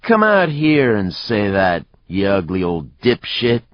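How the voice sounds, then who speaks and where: A man's voice: lowish voice, very animated, slow pace, no echo, dull audio, voice pushed up. A man voicing a belligerent, taunting cowboy bully in an audiobook.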